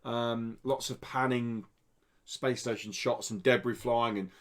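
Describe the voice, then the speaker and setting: A man's voice: medium pitch, steady tone, a little slow, fairly bright tone. A man hosting a podcast in a studio, reading out indie game news in an informal, explanatory way. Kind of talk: casual podcast news chat. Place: indoor studio.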